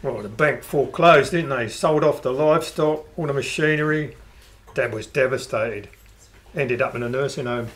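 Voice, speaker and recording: An actor playing a calm, cheeky bandit in an amateur radio play. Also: male voice, mid-range voice, normal speed, close to an everyday voice.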